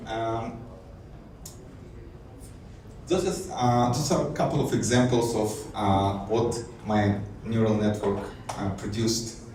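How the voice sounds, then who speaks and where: A man from Israel gives a technical talk in a large, echoey hall, heard from a distance off the mic with a faint hiss behind him. His voice is dark and mellow and sits at a middle pitch. He talks hesitantly, with many fillers and restarts. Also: steady tone, slow, long pauses. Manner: hesitant, many fillers and restarts, light self-amused laughs. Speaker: conference speaker. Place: conference hall.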